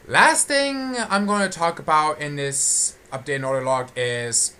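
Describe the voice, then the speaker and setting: A man giving a casual, unscripted channel update, halting and often repeating and restating his phrases. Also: on the high side, pushed and bright, clear, resonant tone. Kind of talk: casual unscripted audio log.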